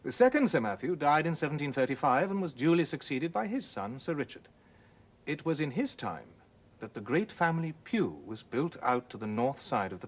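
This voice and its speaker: A man reads a classic ghost story as scripted narration, in a measured, formal manner with deliberate dramatic pauses. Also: medium pitch, slightly rough. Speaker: narrator, audiobook reader.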